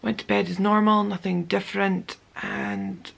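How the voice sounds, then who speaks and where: A young woman with a croaky voice, casually telling her story to the camera in a chatty way, with many ums and self-corrections. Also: mid-range voice, big pitch swings, measured pace. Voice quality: gravelly. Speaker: vlogger.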